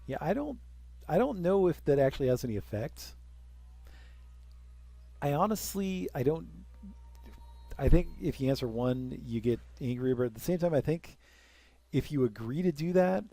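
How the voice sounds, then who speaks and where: A man's voice: mid-range voice, warm tone, no room sound. A young man casually commenting while playing a strategy game, rambling with self-deprecating jokes and asides. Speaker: let's play commentator.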